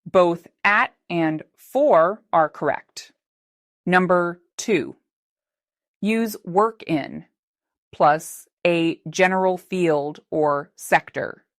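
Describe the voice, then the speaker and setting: Woman, English teacher, scripted lesson narration, very slow, careful articulation, dry close voice-over. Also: fairly low pitch, no echo.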